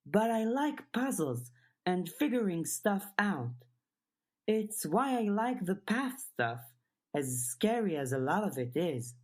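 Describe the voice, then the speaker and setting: A woman's voice: medium pitch, sing-song, takes it slowly, a little mellow, voice pushed up. A woman voicing an eager, earnest girl in an audiobook, chatty and questioning, a little tentative. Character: eager, earnest girl who loves puzzles, overthinking. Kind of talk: audiobook character dialogue.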